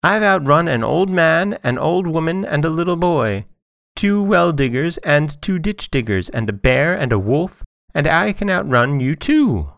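A man's voice: mid-range voice, lively intonation, moderate pace, fairly bright tone, clear, resonant tone, no room sound, studio quality, voice pushed up. A man voicing a cocky, boastful little runaway johnnycake in a storybook tale, chanting out his brags. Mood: loud bragging. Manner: chanting, bragging list delivery.

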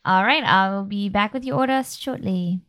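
A woman playing a firm but accommodating female tavern barkeep in a tabletop game, talking plainly with hesitant pauses. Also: mid-range voice, fairly bright tone, no echo, clean studio sound, close to an everyday voice. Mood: firm, patient. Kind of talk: tabletop roleplay.

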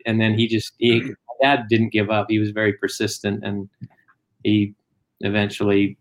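A man from Germany raised in Utah, a guest in a casual interview, telling family stories plainly with frequent pauses. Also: fairly low pitch, monotone, poor audio. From born in Germany, raised in Utah.

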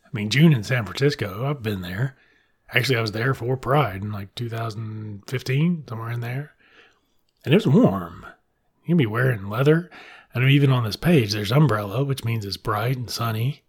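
A middle-aged American man from Mississippi with a husky voice, giving comic commentary in an irreverent, sardonic, swearing manner. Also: medium pitch, normal speed. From Mississippi, United States.

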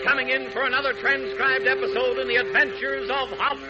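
A man's voice: extremely high pitch, level tone, a little fast. A male announcer delivering a showman's opening introduction for a 1940s radio serial. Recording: dull 1940s radio with theme music.

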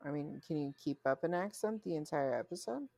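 Low, creaky-voiced woman podcaster. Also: animated, brisk, a little mellow, dry recording. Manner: blunt, sweary. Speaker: podcast co-host. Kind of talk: casual chat.

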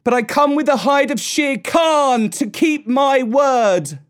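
A man's voice: on the high side, animated, unhurried, pitched above the performer's own voice. A man voicing a proud, scornful young boy raised by wolves, in archaic, declamatory storybook speech. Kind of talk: storybook character voice.

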